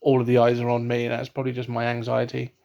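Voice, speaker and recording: Casting note: young English guy, laptop webcam at home, gravelly, monotone, halting. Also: medium pitch, highs cut off. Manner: hesitant, many ums and restarts. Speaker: vlogger. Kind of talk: casual unscripted vlog. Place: at home, indoors.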